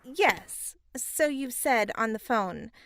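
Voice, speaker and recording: A blunt, doubting female reporter, played by an actress, in a radio play. Her voice is high and very animated, with slow, measured pacing. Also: dry recording, exaggerated voice. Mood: skeptical, polite. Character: skeptical, blunt woman newspaper reporter. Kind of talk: audio drama acting.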